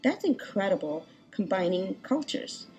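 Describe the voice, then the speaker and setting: A woman composer from California, talking in an animated, reflective way in an interview clip. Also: medium pitch, measured pace, soft background music. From Berkeley, California. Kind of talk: interview talk.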